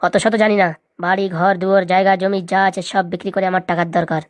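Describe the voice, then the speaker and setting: A woman's voice: mid-range voice, little pitch movement, fast talker, no echo, close to an everyday voice. A woman voicing a menacing male cartoon kidnapper, curt and domineering in comedy dialogue. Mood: cold menace. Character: menacing male kidnapper making ransom demands.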